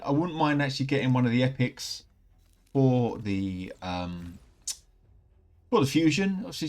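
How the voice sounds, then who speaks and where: A man, slightly croaky from a cold, chatting casually and rambling as he opens game packs for his channel. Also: medium pitch, darkish tone. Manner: chatty, upbeat, rambling with many fillers. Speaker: gaming channel host. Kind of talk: casual commentary while opening game packs.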